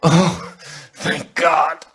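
A man's voice: on the high side, flat delivery, drawn-out words, fairly bright tone, breathy edge, full character voice. An actor playing a wounded, foul-mouthed crooked businessman in a video game, snarling and pleading in agony. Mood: desperate, bitter. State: in agony, crying out. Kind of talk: dramatic video game acting.

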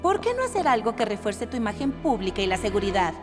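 A woman's voice: fairly high pitch, big pitch swings, quick pace, in a large hall, over music. An actress playing a clever, proud young woman in a cartoon, poised, persuasive and pointed. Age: young adult. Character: clever, proud young woman, mayor's strong-willed daughter. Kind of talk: animated cartoon dubbing.

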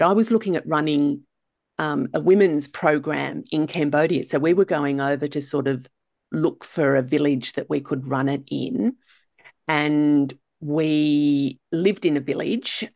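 A middle-aged Australian woman hosts a podcast conversation over a video call. Her voice sits low and a bit gravelly, with a dry, close sound. She chats easily and asks encouraging questions. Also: darkish tone, dry recording. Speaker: podcast host. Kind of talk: casual interview chat. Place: home room, video call.

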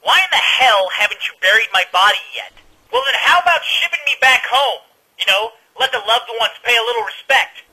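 A man's voice: a little high, expressive, moderate pace, sharp tone, slightly rough, exaggerated voice, low-quality recording. A man voicing an irritable, sarcastic young soldier who has become a ghost, in conversational, exasperated cartoon comedy dialogue. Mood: outraged.

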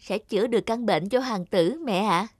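A woman's voice: mid-range voice, moderate pace, husky, no room sound, studio quality, close to an everyday voice. Woman as a sweet, coaxing little pumpkin girl. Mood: hopeful coaxing. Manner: earnest coaxing. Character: young female talking pumpkin, pleading, hopeful and sweet. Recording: music under the voice.

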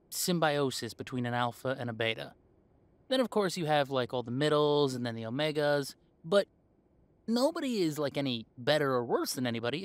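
A man's voice: on the high side, dry recording. A man playing a young werewolf in a conversational audio roleplay, talking in a casual, earnest way. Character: easygoing young male werewolf, defensive with parents.

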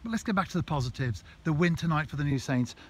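A man interviewing a football manager after a match, asking brisk, prepared questions outdoors at night. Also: on the high side, expressive, slightly rough. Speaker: club TV interviewer.

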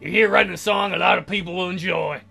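A man voicing a caring, practical young woman from a visual novel, gently scolding and teasing. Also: sits high, fairly even intonation, fast, gravelly, faint music behind, exaggerated voice. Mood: gentle, sincere.

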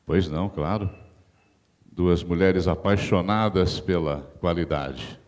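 A man's voice: deep voice, slow, a little mellow. A man acting as master of ceremonies formally introduces speakers and presenters at a podium in an auditorium, using a handheld microphone. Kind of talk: formal event announcing.

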